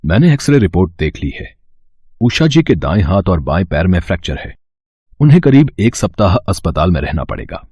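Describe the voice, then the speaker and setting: A man voicing a reassuring hospital doctor who explains things in a measured, matter-of-fact way. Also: low-pitched, very animated, mellow, breathy edge, no room sound, lo-fi sound, voice pushed down, heavily acted. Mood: calm, reassuring.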